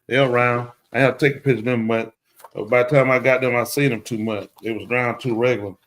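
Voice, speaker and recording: This is a man, talking casually on a live show. His voice sits at a middle pitch, and he looks back on old stories with loud, animated exclamations. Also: quick pace. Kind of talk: casual chat.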